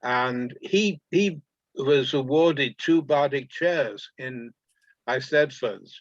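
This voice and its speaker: A man's voice: mid-range voice, takes it slowly, no room sound. A man from England casually recalling things on a muffled, dry video call. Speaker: panel participant. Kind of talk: casual recollection.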